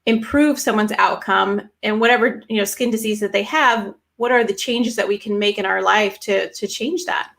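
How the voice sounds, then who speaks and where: A woman's voice: mid-range voice, normal speed, creaky, few pauses, a bit bright. A woman hosting a podcast in a casual, chatty way, asking questions and encouraging her guest with emphatic remarks. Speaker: podcast host, doctor. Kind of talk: casual conversational interviewing.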